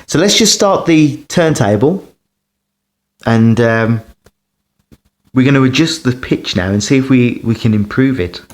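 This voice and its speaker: A man giving a casual hands-on demonstration as a record collector, pausing and hesitating as he talks. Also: mid-range voice, lively intonation. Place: indoors beside a turntable.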